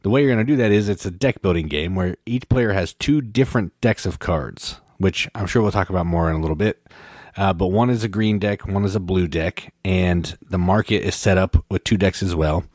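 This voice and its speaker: A man's voice: sits low, brisk, a little mellow, dry recording, studio quality. A man co-hosting a podcast, explaining game rules in casual chat, rambling and correcting himself. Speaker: podcast co-host.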